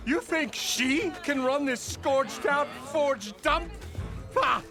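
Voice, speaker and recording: A man's voice: extremely high pitch, slow, exaggerated voice. A man playing a scornful, defiant rabble-rouser in a video game cutscene. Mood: angry, defiant. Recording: loud music behind the voice.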